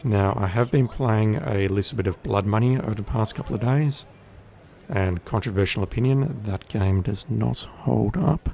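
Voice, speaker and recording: Aussie guy chatting thru a game stream, lowish gravelly voice, laid back and a bit wry. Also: moderate pace, darkish tone. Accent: Australian. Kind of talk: casual gameplay commentary.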